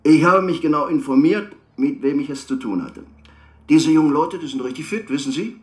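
A man's voice: on the high side, normal speed, echoey room, close to an everyday voice. An actor playing a self-justifying businessman being interviewed in a radio drama, conciliatory and self-satisfied. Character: smooth-talking, self-justifying male businessman.

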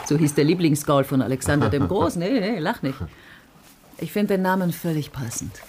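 A woman's voice: sits low, animated, fairly quick, noisy background. An actress playing a bitter, blunt, tough countrywoman in a radio drama, speaking in a terse, resolute way. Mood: matter-of-fact, grim. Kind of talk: naturalistic radio drama dialogue.

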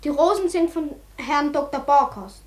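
A deferential hotel maid played by an actress in a radio play. Her voice is very high, and her manner is polite and eager to serve. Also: moderate pace, a little hiss or hum, lightly acted. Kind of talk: German radio play acting.

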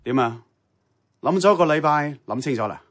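An actor playing a blunt, seasoned radio station boss in a Cantonese radio drama, middle-aged, with a Hong Kong accent and a dry, challenging manner. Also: male voice, fairly high pitch, animated, brisk, a little hiss or hum, lightly acted.

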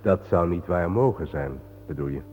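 An actor playing a grave, world-weary man guiding his friend through war cemeteries in a solemn, measured, sardonic radio-play manner. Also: low-pitched, a little hiss or hum, natural voice. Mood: somber. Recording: muffled phone-like old radio recording, reverberant.

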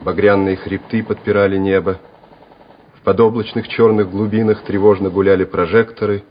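A man narrating a dramatic literary story for radio, measured and expressive. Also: on the low side, a little hiss or hum. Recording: muffled old radio recording, faint music.

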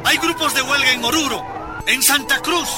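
A rousing male agitator, calls out to comrades in a radio play. His voice is raised very high amid music and crowd noise. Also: normal speed. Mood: urgent. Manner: calling out, rallying. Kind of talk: radio drama acting.

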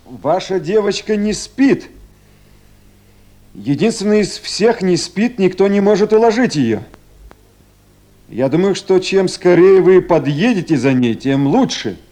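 Kindly, expressive man on duty. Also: high voice, a little slow. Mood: firm, concerned. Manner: gentle and coaxing with the child, businesslike on calls. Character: kindly official on night phone duty. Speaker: actor in a radio play. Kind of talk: 1970s Soviet radio drama acting.

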